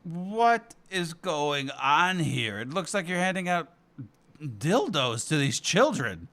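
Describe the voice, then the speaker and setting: A man voicing an easygoing digital cartoon panda who is quick to rant, with halting, exclamatory delivery. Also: fairly high pitch, very animated, fairly bright tone, heavily acted. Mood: puzzled. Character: excitable digital cartoon panda, easygoing until ranting with rage. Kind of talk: animated comedy table read.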